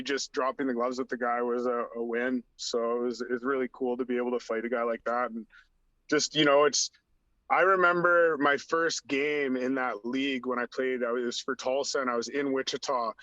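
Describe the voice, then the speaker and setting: A man, a podcast guest, telling animated stories with a slightly raspy voice, acting out what people said and filling his talk with "like". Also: medium pitch, no room sound. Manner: acts out dialogue, heavy use of "like". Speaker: podcast guest, former hockey enforcer. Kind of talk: animated storytelling. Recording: dull lo-fi sound.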